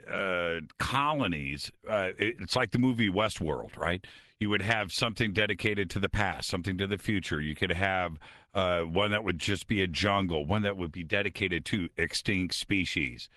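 A man's voice: lowish voice, normal speed, pushed and bright, dry recording, clean studio sound. A man hosting a late-night radio talk show, chatty and upbeat as he moves to the calls.

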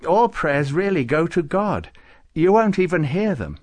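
A man's voice: fairly high pitch, very animated, measured pace, a little mellow, pitched above the performer's own voice. A man voicing a solemn, kindly storybook saint who makes formal pronouncements. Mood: reassuring. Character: solemn, kindly male saint presiding over council. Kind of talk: storybook character voice.

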